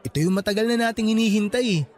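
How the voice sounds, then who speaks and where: A man's voice: sits high, fast talker, a little mellow, soft background music, natural voice. A man playing a young fresh graduate, a supportive and teasing best friend, in an acted radio love story. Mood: happy.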